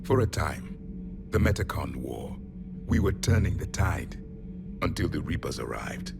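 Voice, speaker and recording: Loud music plays under a very low male voice. It is a grim, imperious alien soldier from a video game, making solemn and blunt pronouncements with the pride of a fallen empire's last survivor. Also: big pitch swings, unhurried, gravelly, exaggerated voice. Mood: solemn, proud. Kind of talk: dramatic video game acting. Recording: dramatic music behind the voice.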